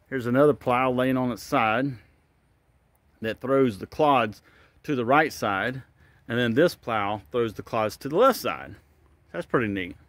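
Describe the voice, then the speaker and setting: A man at a middle pitch rambles through a self-filmed tour of an open wooden barn. He thinks aloud and often admits he isn't sure what he's looking at. The sound comes from a handheld phone. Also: dry recording. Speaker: vlogger giving a walking tour. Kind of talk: casual walk-and-talk narration. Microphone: handheld phone camera.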